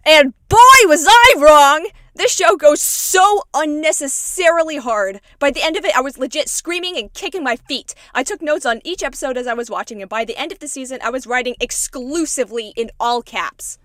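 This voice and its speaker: A woman giving a casual, excited recap commentary with emphatic, exclamatory, slangy delivery. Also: a little high. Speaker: YouTube video essayist, fan of animated series.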